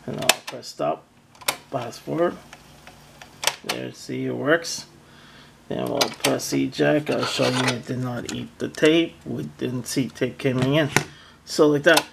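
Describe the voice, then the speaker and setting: Slow, gravelly man giving a demo. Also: heavy background noise. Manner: plain, halting, step-by-step narrating. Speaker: seller demonstrating a product. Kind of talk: casual hands-on product demonstration. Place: indoors, close tabletop setup. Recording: music from a boombox behind the voice. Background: loud music from the boombox.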